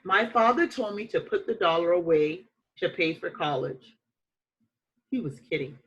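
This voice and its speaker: A woman reading a children's picture book aloud like a teacher, with expressive, emphatic phrasing and deliberate dramatic pauses. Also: lowish voice, muffled recording.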